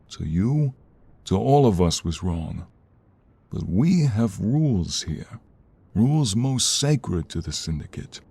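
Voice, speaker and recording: A man acts out an older mob patriarch in an audio roleplay. His voice is mellow and raspy, and he speaks gravely, as a stern father who holds to the rules. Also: fairly low pitch. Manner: grave, authoritative. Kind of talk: dramatic audio roleplay acting.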